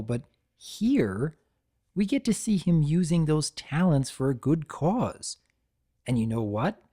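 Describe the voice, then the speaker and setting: A man serves as story reader and reviewer, with a dark, mellow tone. He narrates in a dramatic storytelling style, his pitch moving expressively around a middle register.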